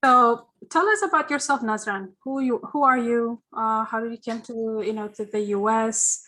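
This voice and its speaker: Webinar host: woman, a little high, friendly and welcoming, on a video call. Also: normal speed, pushed and bright, low-quality recording. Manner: friendly and welcoming, with frequent fillers. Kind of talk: webinar moderation.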